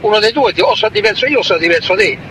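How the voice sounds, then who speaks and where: A middle-aged man with a Roman Italian accent answering questions informally, halting and repeating his words. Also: high voice, animated. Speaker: father being questioned. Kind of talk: informal recorded interview. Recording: loud traffic-like ambient noise.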